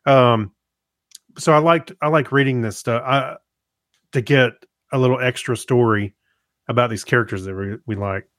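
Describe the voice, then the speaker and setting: A man's voice: mid-range voice, moderate pace, fairly bright tone, no room sound, clean studio sound. A man talking informally as a podcast co-host. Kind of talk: casual conversational chat.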